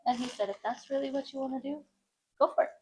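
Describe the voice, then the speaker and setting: A woman in her thirties with a naturally high-pitched voice, chatting as an interview guest about modeling and often laughing. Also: fast, dark tone. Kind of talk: casual conversational interview.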